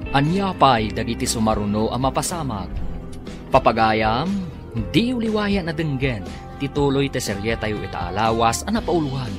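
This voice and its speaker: A male announcer narrates a radio drama with an Ilocano accent and a dramatic scripted delivery. His medium-pitched voice rises and falls widely, with music playing behind him. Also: big pitch swings, normal speed.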